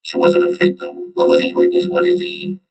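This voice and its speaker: A man's voice: darkish tone, dull audio. A man giving casual, slangy reaction commentary in a loose street manner, as a vlog host. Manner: slangy, drawn-out street talk.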